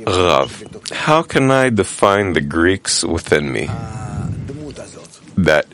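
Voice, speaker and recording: An earnest man posing questions in a spiritual lesson, polite and searching. His voice sits low, with a rough, gravelly texture. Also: big pitch swings, takes it slowly, fairly bright tone. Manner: earnest, asking and then saying please. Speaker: student asking questions.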